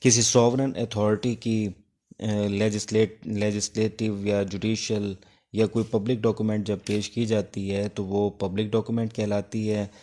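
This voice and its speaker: Low-pitched Pakistani man lecturing, even tone. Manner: halting, instructive, with pauses between phrases. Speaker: law lecturer. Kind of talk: informal exam-prep lecture with English legal terms.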